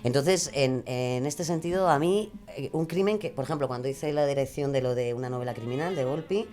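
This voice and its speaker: A woman's voice: very deep voice, fairly quick, warm tone. A woman with a Spain Spanish accent chatting casually, in a colloquial, emphatic, jokey way. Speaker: podcast guest, true crime narrator. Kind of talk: casual chat.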